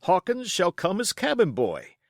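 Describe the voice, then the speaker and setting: A man voicing a blustering, excitable country squire in an audiobook, emphatic and commanding. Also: sits high, a little slow, vocal fry, no echo, studio quality, voice pushed up, exaggerated voice. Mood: excited, boastful. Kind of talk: audiobook character voice.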